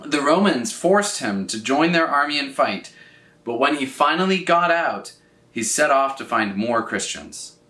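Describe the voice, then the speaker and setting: A man reading a children's story aloud in an expressive, scripted style, with dramatic phrasing and drawn-out words. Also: mid-range voice. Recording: roomy echo, distant from mic.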